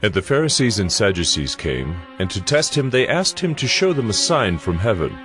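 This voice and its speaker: Deep-voiced man narrating scripture in a measured, expressive cadence. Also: soft background music.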